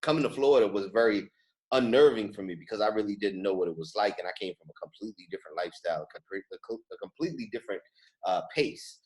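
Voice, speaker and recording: Man in his thirties, from New York, low voice, slangy podcast host, effusive casual interview chat. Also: fast talker, a little mellow, low-quality recording. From New York, United States.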